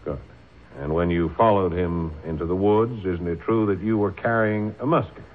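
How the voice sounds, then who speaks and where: An actor playing a stern, principled but compassionate defense lawyer, formal and persuasive, in a radio drama. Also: male voice, low-pitched, moderate pace. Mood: stern, insistent. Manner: forceful, probing, formal, persuasive. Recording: tinny old radio broadcast.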